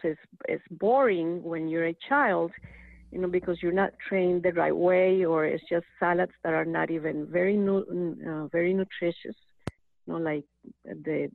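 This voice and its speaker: Woman, earnest, animated, tinny phone line. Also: lowish voice, gravelly, no room sound. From Costa Rica. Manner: earnest, animated, with frequent restarts. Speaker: guest. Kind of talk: casual phone-in chat.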